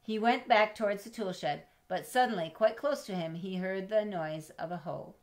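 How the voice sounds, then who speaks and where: A middle-aged woman reading a children's storybook aloud in an expressive, measured way, as if to young listeners. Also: medium pitch. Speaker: storybook reader. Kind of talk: children's read-aloud with chatty asides. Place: indoors in a home room with bookshelves.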